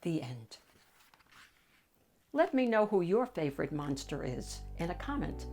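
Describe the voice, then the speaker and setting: A woman's voice: fairly low pitch, very animated, a little slow, mellow, soft background music. A woman reading a picture book aloud expressively, as the host of a storytime. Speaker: storybook reader and channel host.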